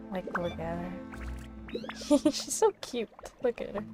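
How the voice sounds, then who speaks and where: A woman's voice: medium pitch, expressive, slow pace. A woman doing casual playthrough commentary as a gaming streamer. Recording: game music under the voice. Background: video game music.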